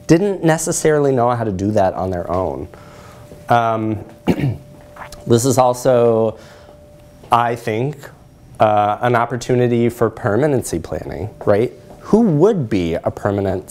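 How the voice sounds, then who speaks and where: A man's voice: medium pitch, a little mellow. A man in his thirties presenting a lecture in a conversational way, checking in with his listeners as he explains. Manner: conversational, often adds "right?" to check in. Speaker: academic presenter. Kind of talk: conference lecture with slides. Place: lecture room, indoors.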